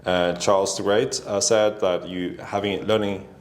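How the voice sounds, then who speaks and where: A middle-aged man from Norway, a native Norwegian speaker, answering interview questions thoughtfully with many pauses. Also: sits low, little pitch movement. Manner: thoughtful, hesitant, many ums. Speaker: guest, company founder. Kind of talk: casual interview. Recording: echoey room.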